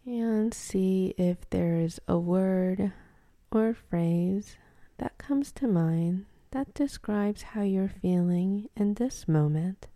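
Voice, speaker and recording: A woman leading a guided meditation in a soft, calm, half-whispered voice with long pauses between phrases. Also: medium pitch, no echo.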